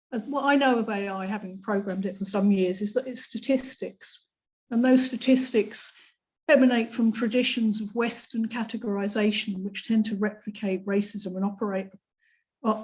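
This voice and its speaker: A woman's voice: fairly high pitch, darkish tone, low-quality recording. Pointed questioning woman. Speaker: seminar participant. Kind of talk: challenging question in a discussion.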